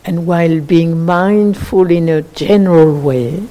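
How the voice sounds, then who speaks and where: Slow, mellow woman guiding meditation. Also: fairly low pitch. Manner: sparse phrases with long pauses. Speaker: meditation teacher. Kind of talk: guided meditation.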